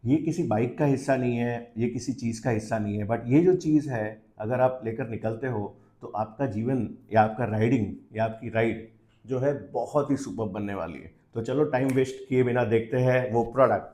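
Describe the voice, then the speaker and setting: A man's voice: medium pitch, dark tone. A man with an Indian accent giving casual, improvised unboxing commentary as host, switching freely between two languages mid-sentence. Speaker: host of a product unboxing channel.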